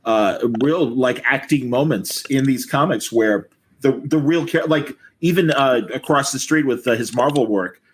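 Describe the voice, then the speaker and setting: A man hosting a casual online group conversation, speaking in an effusive, halting manner. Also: mid-range voice. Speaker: host. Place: remote video call. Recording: noisy video call audio with clicks.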